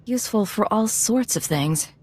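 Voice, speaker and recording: A woman's voice: mid-range voice, animated, moderate pace, a little mellow, lightly acted. A woman playing a principled undercover intelligence agent in a video game, cool, concise and controlled. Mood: composed, assured.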